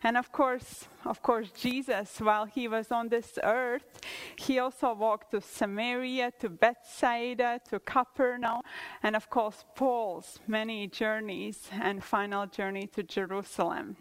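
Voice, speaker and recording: A woman's voice: on the high side, fairly even intonation, measured pace, rough recording. A woman from Latvia in her thirties, with a Latvian accent, speaking English as a second language, giving an earnest testimony-style sermon at church with some hesitant restarts.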